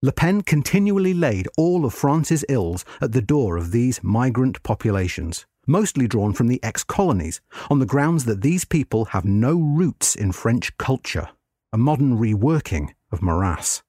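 A man narrating a scripted history article in a measured, formal read-aloud delivery. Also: mid-range voice, very animated, dark tone, no room sound, clean studio sound.

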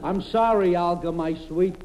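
A man's voice: high voice, normal speed, highs cut off, lightly acted. A man acting an uncouth, selfish bum of a lover in an old radio comedy, blunt and comically unromantic. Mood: flatly dismissive. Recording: very noisy old radio recording.

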